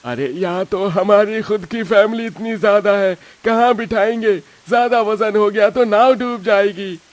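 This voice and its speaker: A man playing a gruff, burly eatery owner in an animated story, speaking brusquely. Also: sits high, unhurried, dry recording. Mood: refusing.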